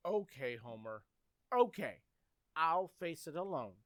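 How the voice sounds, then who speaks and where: A man's voice: on the high side, big pitch swings, measured pace, fairly bright tone, dry recording, clean studio sound. A man playing an earnest, flustered, polite teenage boy in old-time radio comedy, hesitant and stammering. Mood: pleading, resigned. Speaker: community theater actor.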